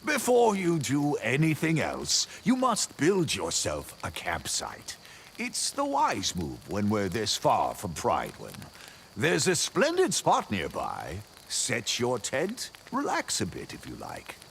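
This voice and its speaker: An actor voicing a chivalrous, pompous but bumbling good-natured knight in a video game, with florid, theatrical speech and flustered hesitations. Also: male voice, mid-range voice, huge pitch swings, takes it slowly, gravelly, a bit bright, a little hiss or hum, heavily acted. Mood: hearty, welcoming.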